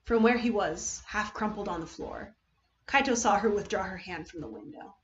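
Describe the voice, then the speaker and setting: A woman reading a story aloud as narrator, with expressive, theatrical delivery. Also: medium pitch, normal speed, lo-fi sound, a little hiss or hum. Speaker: streamer reading fan fiction.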